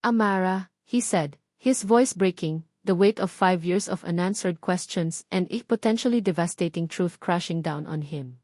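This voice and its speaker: A woman's voice: lowish voice, a little slow, few pauses, dark tone, no echo. Filipino woman narrating dramatically, dry close sound. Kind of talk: dramatic scripted storytelling narration.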